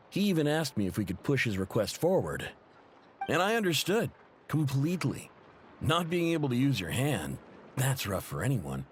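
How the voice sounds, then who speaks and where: Guy voicing an easygoing mechanic in a game, real lively pitch, sympathetic n chatty, noise in the background. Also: lightly acted. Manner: casual, expressive, conversational. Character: helpful, easygoing male mechanic, expressive and sympathetic. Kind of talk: video game voice acting.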